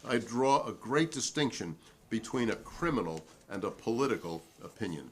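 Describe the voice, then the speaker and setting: A man's voice: fairly low pitch, expressive, slight background noise, close to an everyday voice. A man reading the part of a defiant, principled witness at a 1950s congressional hearing, speaking formally and emphatically in a dramatic reading. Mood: emphatic, indignant. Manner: emphatic, formal, deliberate repetition.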